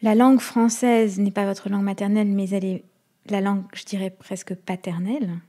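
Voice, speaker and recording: Mellow-voiced woman interviewer, measured. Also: medium pitch, flat delivery. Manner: measured, attentive, lays out questions at length. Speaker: interviewer, host. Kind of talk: long-form interview questions.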